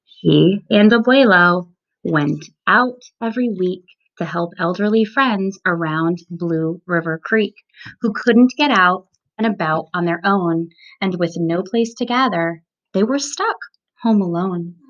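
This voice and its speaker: A woman reading a children's picture book aloud, with expressive, emphatic phrasing for young listeners. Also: lowish voice, measured pace. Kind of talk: children's storybook read-aloud. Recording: dull lo-fi recording.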